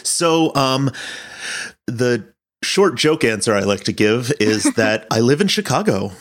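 A man's voice: medium pitch, big pitch swings, a little slow. An American man answering interview questions as a director, explaining his work in a reflective, conversational way. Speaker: director and producer being interviewed. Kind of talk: casual interview.

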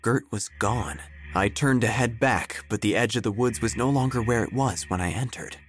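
An actor playing a melodramatic, self-important obituary writer who narrates an audio drama with theatrical pauses. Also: male voice, mid-range voice, a little hiss or hum, lightly acted. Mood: brooding, ominous. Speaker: narrator and protagonist. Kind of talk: first-person audio drama narration, gothic parody.

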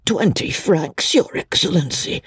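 A man voicing a cunning, greedy old male peddler in an audiobook, humble and deferential in his deliberate speech. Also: on the high side, expressive, fairly bright tone, slightly rough, no room sound, studio quality, voice pushed up, exaggerated voice. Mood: humbly wheedling. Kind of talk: audiobook character voice.

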